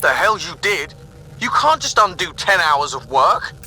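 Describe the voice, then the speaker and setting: An actor playing an indignant, sarcastic starship engineer in a video game, argumentative and biting. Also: male voice, a little high, very animated, unhurried, bright, forceful tone, soft background music, full character voice, low-quality recording.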